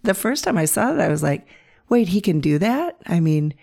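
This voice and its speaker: A middle-aged woman from Pennsylvania hosting her podcast, hoarse and croaky from bronchitis, telling stories in a gentle, playful way. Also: lowish voice, big pitch swings, normal speed. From north central Pennsylvania. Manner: gentle, playful storytelling with soft laughs. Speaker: podcast host. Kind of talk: reflective solo podcast monologue.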